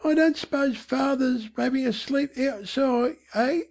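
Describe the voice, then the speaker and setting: A woman voicing a defiant, streetwise slum boy with speech and rough slang in an audiobook. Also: high-pitched, a little slow, a little mellow, pitched above the performer's own voice. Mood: guarded. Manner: rough dropped-grammar slang. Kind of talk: audiobook character voice.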